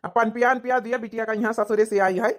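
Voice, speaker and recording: A woman's voice: on the high side, fairly even intonation, fast talker, natural voice. A woman voicing a sensible old village father in a cartoon, plain and placating, with an Awadhi accent. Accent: Awadhi, rural north India. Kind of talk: cartoon comedy dialogue.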